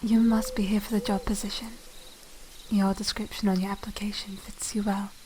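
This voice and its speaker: A woman playing a polite, secretive young noble vampire lady in a soft, half-whispered ASMR roleplay, speaking formally and with measured care. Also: mid-range voice, flat delivery, a crowd in the background, close to an everyday voice. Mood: courteous, reserved. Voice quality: soft, breathy whispering edge. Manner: hushed, formal, measured, genteel.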